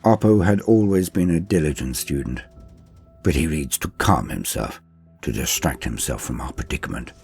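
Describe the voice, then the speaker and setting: Man as a devout acolyte, deep and hushed. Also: lively intonation, normal speed, creaky, soft background music, heavily acted. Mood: worried. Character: devout, anxious male acolyte, earnest and weary.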